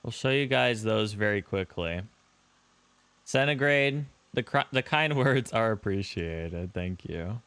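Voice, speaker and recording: A man's voice: lowish voice, animated, a little slow, a bit bright, clear, resonant tone, no echo. A young man streaming a flight simulator, chatting casually with viewers and thanking subscribers. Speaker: live streamer. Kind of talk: casual live-stream chat while flying a simulator.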